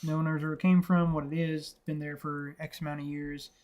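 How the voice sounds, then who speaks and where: A man's voice: a little high, little pitch movement, brisk, darkish tone. A young man co-hosting a casual film podcast, rambling and swearing freely, laughing often. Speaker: podcast co-host.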